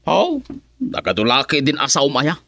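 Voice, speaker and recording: A woman's voice: sits low, huge pitch swings, normal speed, a bit bright, no echo, full character voice. A woman with an Ilocano accent voicing a stubborn, hard-drinking male village councilor in a radio drama. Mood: dismissive.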